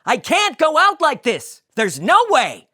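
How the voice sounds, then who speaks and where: A woman's voice: high-pitched, wildly swooping pitch, a little slow, pushed and bright, voice pushed up, exaggerated voice. A woman voicing a sarcastic, incredulous man who mocks everything. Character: exasperated sarcastic man, comic lead.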